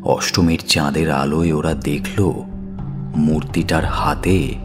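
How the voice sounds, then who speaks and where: Deep, slow male storyteller over music. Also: fairly even intonation. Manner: theatrical, suspenseful pauses. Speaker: narrator of an audio story. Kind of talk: dramatic scripted story narration. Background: background music.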